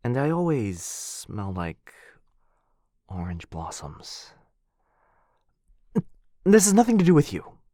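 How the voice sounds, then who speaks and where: A man playing a shy, sweet, earnest dog-person roommate in an intimate boyfriend ASMR roleplay, speaking softly and hesitantly. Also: mid-range voice, huge pitch swings, no echo, clean studio sound, heavily acted. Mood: flustered, defensive. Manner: soft, hesitant, halting, bashfully rambling.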